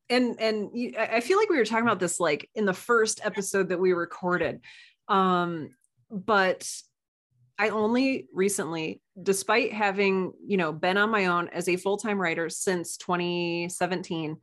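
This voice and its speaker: A woman's voice: mid-range voice, fairly bright tone. A woman chatting casually as a podcast guest, friendly and encouraging.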